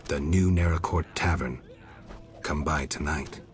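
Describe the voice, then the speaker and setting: An actor playing a stoic, world-weary monster hunter in a video game, terse and deadpan. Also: male voice, extremely low pitch, unhurried, soft background music. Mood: terse, calm. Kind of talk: video game dialogue acting.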